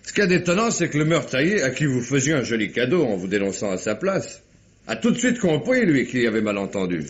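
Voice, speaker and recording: An actor playing a shrewd, talkative police commissioner with a probing, familiar, ironic manner in a French radio mystery. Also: male voice, mid-range voice, big pitch swings, brisk, husky. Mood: firm, explanatory. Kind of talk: French 1950s-style radio mystery acting. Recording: muffled, noisy old radio recording.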